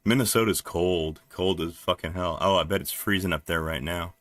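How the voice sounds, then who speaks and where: A man from New Jersey with a deep voice, chatting casually and haltingly on a live stream while he works at his computer, with lots of fillers. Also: a bit bright, no room sound. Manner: rambling, halting, many fillers, laughs often. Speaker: livestreaming developer.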